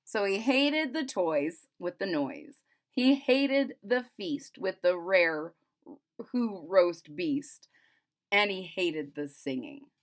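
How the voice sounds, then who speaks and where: A woman reading a children's storybook aloud in an expressive, emphatic way, with simple explanatory asides for young listeners. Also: lowish voice, slow pace.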